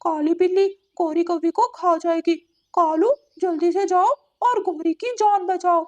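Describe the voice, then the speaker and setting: A woman voicing an alarmed, helpful male parrot in a children's cartoon story, squeaky and urgent. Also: steady tone, measured pace.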